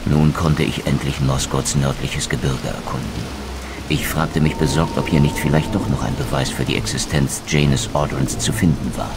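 A man's voice: very deep voice, steady tone, normal speed, vocal fry, noisy background. A man playing a brooding, proud undead wraith in a video game, speaking eloquently and theatrically with sardonic bitterness. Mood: brooding, grave. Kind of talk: dramatic game dialogue and scripted narration.

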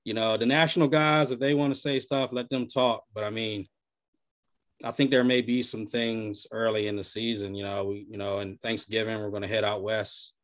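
A young man talking casually on a video call from a small room, relaxed and loose, filling his talk with "you know". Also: fairly low pitch, moderate pace. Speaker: podcast panelist. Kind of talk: casual chat. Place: small room at home, indoors. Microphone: webcam on video call.

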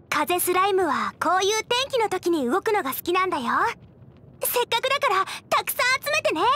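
Anime game girl, teenage cook, extremely high voice, cheerful, eager, bubbly, chatty, expressive. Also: slight background noise, exaggerated voice. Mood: casual, helpful. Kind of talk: animated anime game character acting.

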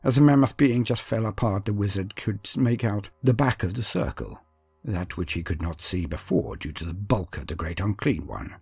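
A man with a low, heavily husky voice narrates dark fantasy tales. His delivery is theatrical and grave, with phrases that fall away into hushed endings. The sound is dry, with faint music underneath. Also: animated, normal speed, no room sound. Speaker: storyteller narrator.

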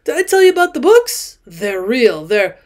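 A woman voicing a stout, drunk middle-aged man who talks loudly and emphatically and keeps repeating himself. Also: on the high side, very animated, normal speed. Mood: excited drunk. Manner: loud, emphatic, rambling repetition.

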